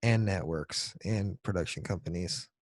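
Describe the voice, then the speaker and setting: A man's voice: low voice, normal speed, no echo. A man co-hosting a podcast in casual, joking chat, slangy and swearing now and then. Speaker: podcast co-host.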